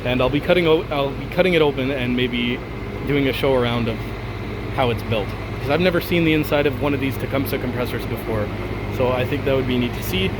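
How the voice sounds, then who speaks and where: A man with a cold-roughened, hoarse voice talks through a casual backyard walkthrough. He grumbles and rants at careless work with a middling pitch. The outdoor recording carries clear background noise and a machine hum. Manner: grumbling, ranting about contractors. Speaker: video host. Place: outdoors in a backyard beside an air conditioner.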